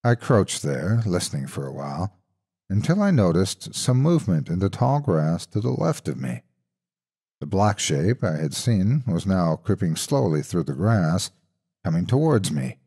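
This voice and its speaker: A male horror narrator with a husky, dark voice. He delivers scripted tales deliberately and with suspense, and his intonation swings expressively. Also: low voice, sing-song. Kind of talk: dramatic scripted storytelling narration.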